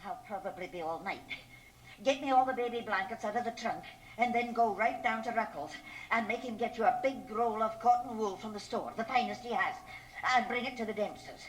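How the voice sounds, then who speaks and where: An actress playing a capable, strict, brisk small-town mother in a radio drama. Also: fairly high pitch, lightly acted. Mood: brisk, urgent. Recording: slightly noisy old cassette radio drama.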